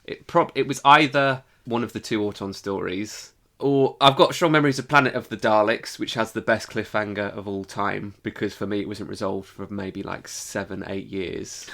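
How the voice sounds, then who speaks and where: A man's voice: lowish voice, moderate pace, gravelly, few pauses, fairly bright tone. A British man co-hosting a podcast, chatting casually in an informal, exaggerating way. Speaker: podcast co-host. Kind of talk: casual chat.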